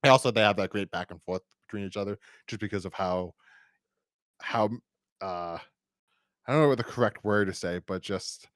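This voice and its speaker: A man's voice: fairly low pitch, fairly bright tone, no room sound, clean studio sound. A man hosting a podcast in a casual review discussion, rambling and often repeating words as he feels for the next phrase. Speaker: podcast host.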